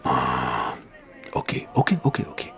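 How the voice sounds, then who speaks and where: An actor playing an anxious, secretive boss in an audio drama, stammering and dropping into hushed tones. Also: male voice, rumbling low, wildly swooping pitch, normal speed, creaky, exaggerated voice. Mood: flustered. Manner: stammering, flustered, lapsing into hushed whispers. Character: anxious, secretive boss of a janitor, devoted husband. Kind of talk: theatrical audio drama phone monologue.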